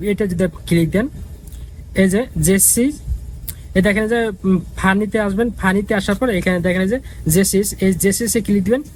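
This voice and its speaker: A man's voice: high voice, darkish tone, a little hiss or hum, rough recording. A man giving a casual, repetitive step-by-step app tutorial as the host. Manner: repetitive, instructional.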